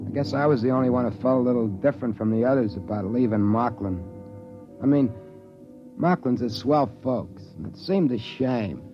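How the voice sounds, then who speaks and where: A man's voice: medium pitch, moderate pace, lightly acted. An American man playing a folksy, plain-spoken spaceman who narrates a 1950s radio drama as an alien passing as human. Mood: musing, scheming. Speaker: actor narrating in character. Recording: dull vintage radio recording, faint music.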